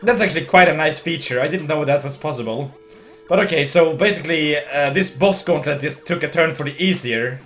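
A man's voice: on the high side, brisk, fairly bright tone, soft background music. A young Swedish man with a strong Swedish accent, drunk, rambling and slurring through casual commentary while streaming a video game. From Sweden. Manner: rambling, giggly, slurring and restarting words. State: voice going hoarse. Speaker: livestream gamer.

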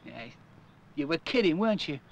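A man's voice: on the high side, sing-song, moderate pace, vocal fry, very noisy. A man voicing a cheeky, cocky, naive little cartoon robin, chatting in a 1970s public information film. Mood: startled, doubtful.

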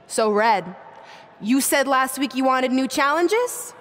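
A woman's voice: sits high, animated, slow, fairly bright tone, exaggerated voice. A woman playing a self-assured, imperious general manager in a wrestling video game, making emphatic announcements. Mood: commanding. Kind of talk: video game acting, arena announcement on microphone.

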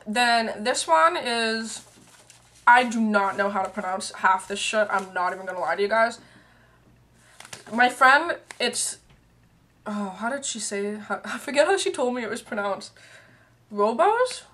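A young woman talking straight into a webcam in a small room, her voice bright and a touch high. She rambles casually with fillers and side remarks, and her voice sounds worn and fading. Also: animated, unhurried. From Canada. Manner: rambling, lots of fillers and asides. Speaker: beauty and tea vlogger. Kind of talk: casual chatty vlog. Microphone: webcam at arm's length.

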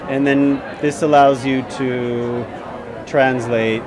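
A male first-year student explaining his project haltingly with uhs. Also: medium pitch, level tone, a loud crowd. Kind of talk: exhibition demo explanation. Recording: noisy crowded exhibition recording.

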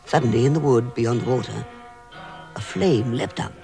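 An actor playing a timid, easily flustered hobbit with a creaky voice in a radio drama. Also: male voice, mid-range voice, moderate pace, dark tone, faint music behind, close to an everyday voice. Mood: wistful, musing. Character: timid, flustered middle-aged male hobbit, dreamy yet easily frightened. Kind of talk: British radio drama acting.

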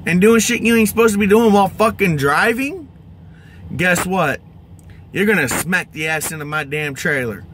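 American male trucker, high bright forceful voice, angry profane vlog rant, exasperated, emphatic. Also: slight background noise. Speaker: truck driver. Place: inside a truck cab.

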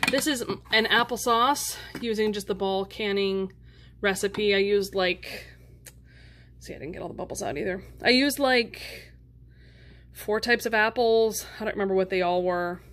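A woman's voice: medium pitch, moderate pace, fairly bright tone. A woman giving a casual, unscripted show-and-tell as a home vlogger, rambling and chatty with self-deprecating asides. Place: indoors at home. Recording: clear background noise, handling clicks.

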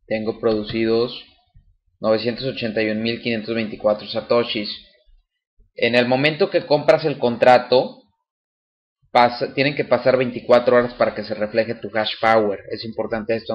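Man narrating a lo-fi screen tutorial. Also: lowish voice. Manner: explains step by step with many fillers and restarts. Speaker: channel host demonstrating a website. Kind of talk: casual screen-recorded tutorial.

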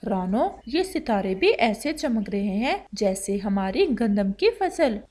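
A woman's voice: medium pitch, very animated, slow pace, a little mellow, pitched below the performer's own voice. A woman voicing a protective, caring father bird in a children's cartoon story, reassuring and firm.

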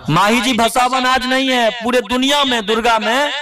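Guy haggling on the phone, high voice, keeps repeating himself, crowd in the background. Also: fairly even intonation, moderate pace. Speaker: event organiser. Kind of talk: heated phone negotiation. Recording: phone call recording with crowd noise.

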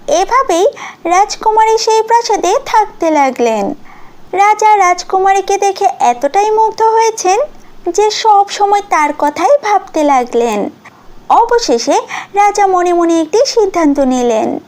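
A woman's voice: very high-pitched, animated, unhurried, darkish tone. A woman narrating a scripted fairy tale for a cartoon as the storyteller.

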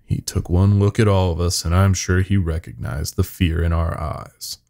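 A man's voice: low voice, fairly even intonation, normal speed, gravelly, darkish tone. A man narrating a first-person horror story in a weary, haunted, folksy storytelling manner.

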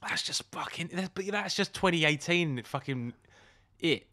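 A man's voice: fairly high pitch, expressive, moderate pace, pushed and bright. A man with a British accent in casual comedic chat as a podcast co-host, blunt, sweary and often incredulous.

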